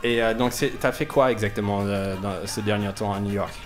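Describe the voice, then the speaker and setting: A man hosting a casual radio interview, with a hesitant, halting way of talking and sentences that trail off. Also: on the low side, steady tone, music in the background. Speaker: radio host. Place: radio studio.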